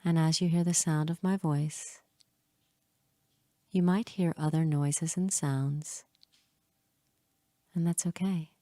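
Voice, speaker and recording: Very slow, low, mellow woman guiding meditation. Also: steady tone, dry recording, clean studio sound. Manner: soothing, hushed, with long pauses between short phrases. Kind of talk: guided hypnosis meditation.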